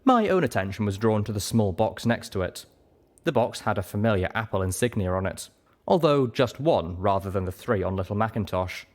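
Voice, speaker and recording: A man reading a story aloud as expressive, scripted first-person narration. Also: on the low side, fairly quick. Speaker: audiobook reader.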